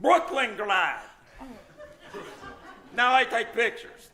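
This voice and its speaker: A man's voice: very high-pitched, lively intonation, takes it slowly, pushed and bright, exaggerated voice. A man playing a brusque Russian tourist with a put-on accent and curt, broken English in a radio comedy. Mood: brusque, insistent. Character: brusque male Russian tourist, suspected spy with moustache. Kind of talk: live old-time radio comedy acting.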